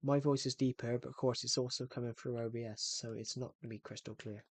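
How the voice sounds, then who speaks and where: A man rambles through a relaxed game livestream at an ordinary mid pitch, circling back over the same phrases. His voice sits close and dry on a streaming mic. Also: fast talker, dry recording. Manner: rambling, repeats and restarts phrases. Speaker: gaming streamer. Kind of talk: casual livestream chat while playing.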